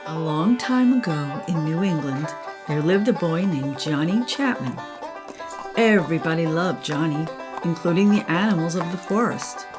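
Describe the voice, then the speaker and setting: A woman's voice: deep voice, lively intonation, moderate pace, music in the background. An older woman, a grandmother, narrating a children's story.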